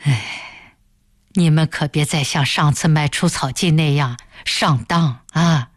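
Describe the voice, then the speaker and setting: A cautious old village father, put on by a woman reader, lowered and breathy. He admonishes slowly and disapprovingly, as an audiobook character in a dry recording. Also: dry recording. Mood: sighing warning.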